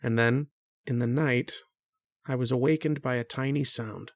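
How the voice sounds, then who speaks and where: An actor playing an earnest, kindly struggling writer who narrates an old-time radio horror drama in a reflective, hesitant way. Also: male voice, mid-range voice, steady tone, normal speed, no room sound, natural voice. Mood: pensive, hushed.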